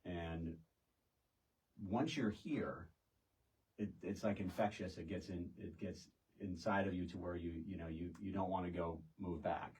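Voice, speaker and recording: A middle-aged American man with a very low voice talks as a guest in a casual conversation. He explains in a halting, rambling way with asides, sitting some way off the microphone. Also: darkish tone. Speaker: podcast guest, realtor. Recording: distant microphone.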